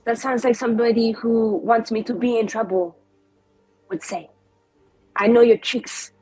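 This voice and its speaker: Woman playing a confident, evasive mage, slightly husky. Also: on the high side, fairly even intonation, unhurried, dark tone, no echo, poor audio. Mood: stubbornly evasive. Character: spiteful, confident, evasive young female fantasy mage. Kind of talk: tabletop roleplay.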